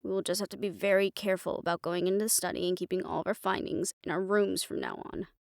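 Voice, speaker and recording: Low, creaky, level-headed young woman acted out. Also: steady tone, fast, no room sound, clean studio sound. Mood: calm, reassuring. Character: calm, sensible, gently protective young woman. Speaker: character in fantasy audio roleplay. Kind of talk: dramatic audio roleplay acting.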